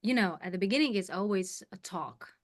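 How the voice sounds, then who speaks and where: A young woman with a Ukrainian accent talks with open enthusiasm, feeling for each English word. Her pitch sits in a middle range for a woman. She is a musician answering interview questions. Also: lively intonation, a little fast. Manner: earnest, searching for words, enthusiastic. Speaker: guest musician. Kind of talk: casual interview.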